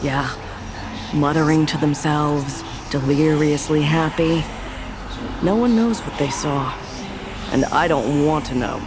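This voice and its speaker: A woman's voice: sits low, slow, pauses often, background noise. A woman voicing a tired, sarcastic hospital doctor in a game, dry and world-weary. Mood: ominous. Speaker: game character. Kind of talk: game dialogue. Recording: game audio with music underneath.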